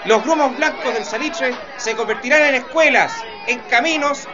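A man's voice: extremely high pitch, normal speed, dull audio. A man playing an idealistic president in a radio play, speaking. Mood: impassioned, resolute. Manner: declamatory. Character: idealistic honest Chilean president, impassioned orator. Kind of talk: radio theatre acting. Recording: loud music and crowd behind.

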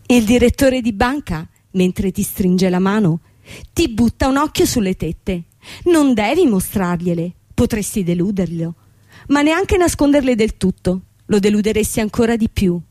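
An actress playing a young, proud, bitter bankrupt company owner in a theatrical radio drama. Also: female voice, mid-range voice, animated, moderate pace, dark tone, no echo, lightly acted. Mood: dismissive, provocative. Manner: theatrical, dramatic delivery with repetition.

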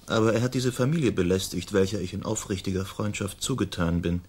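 A man's voice: fairly low pitch, a little fast, darkish tone, slightly rough. An actor playing a languid, faint-hearted young nobleman in a radio drama, polite and formal in manner. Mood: concerned. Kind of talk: German radio drama acting.

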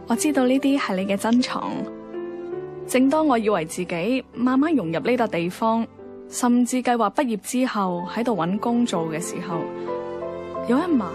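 An actress plays an earnest young woman in a radio drama, reading a heartfelt letter. Her voice sits fairly high and she speaks reflective, sincere Hong Kong Cantonese. Music plays clearly behind her. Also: natural voice. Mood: wistful, reflective.

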